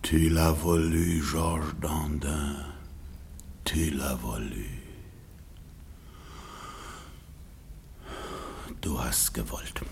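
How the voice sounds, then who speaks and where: A man's voice: a bass voice, a little hiss or hum. A man playing an indignant, stubborn, bitter peasant husband in a radio comedy, blustering and muttering grudgingly. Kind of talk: German radio play acting of classic comedy.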